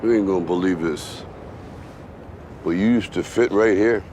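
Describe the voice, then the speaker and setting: A man's voice: mid-range voice, expressive, moderate pace, natural voice. Man acting ageing father, film drama, plain working-class speech, devoted tough love, faint background noise. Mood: earnest, tender. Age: older. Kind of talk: naturalistic film drama monologue.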